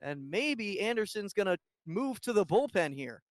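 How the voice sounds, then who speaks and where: A man with a high voice commentates live on a softball game as the play-by-play announcer. He follows every pitch in detail, and the sound is dry and close. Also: sing-song, normal speed, dry recording.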